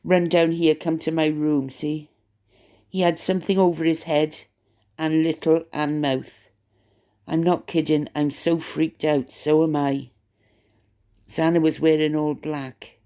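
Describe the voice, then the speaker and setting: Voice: medium pitch, level tone, a little slow, warm tone, smooth. A vlogger with a Welsh accent giving rambling, gossipy commentary to camera, chatty and stop-start, often repeating words while thinking aloud. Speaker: channel host.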